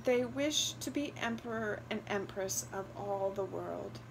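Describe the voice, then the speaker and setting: A woman's voice: mid-range voice, a little slow, creaky, background noise. A woman voicing a young, tearful daughter in a storybook, speaking through choked sobs.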